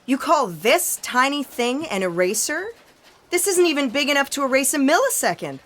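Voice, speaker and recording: Bossy female editor-in-chief for sci-fi audio comedy: highish, bright, theatrical. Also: big pitch swings, normal speed. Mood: exasperated. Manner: imperious, theatrical.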